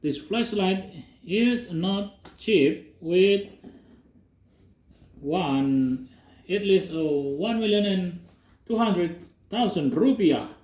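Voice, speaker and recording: A man with a Russian accent speaking halting English, casually unboxing products in a vlog. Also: high voice, lively intonation, a little mellow, reverberant. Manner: slow, halting non-native English with pauses. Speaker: unboxing vlogger.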